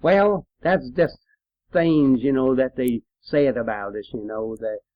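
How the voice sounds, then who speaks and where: Slow-talking elderly rural American man, dull recording. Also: mid-range voice, no room sound. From Appalachian hill country, United States. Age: elderly, 70s or older. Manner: folksy, unhurried, with trailing pauses. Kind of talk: rambling personal reminiscence recorded as an audio letter. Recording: dull, lo-fi home tape recording.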